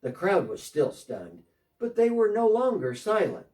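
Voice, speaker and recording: A man's voice: high voice, huge pitch swings, unhurried, darkish tone, recorded from a distance, heavily acted. A man performing a first-person dramatic monologue as a short, eager, repentant tax collector, telling his story earnestly as if on a phone call. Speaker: dramatic reader.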